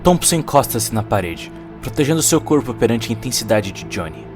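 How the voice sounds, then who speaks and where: A man's voice: mid-range voice, very animated, a little fast. A man with a Brazilian accent narrating a cyberpunk short story as a scripted, dramatic audio production. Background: faint music.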